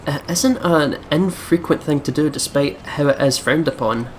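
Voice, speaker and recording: A man's voice: fairly high pitch, normal speed. A man hosting a let's play, commenting and reading the story text aloud. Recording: clearly noisy background.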